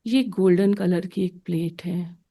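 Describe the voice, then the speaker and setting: A woman leading a soothing guided meditation in short, gently spaced phrases. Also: lowish voice, steady tone, measured pace.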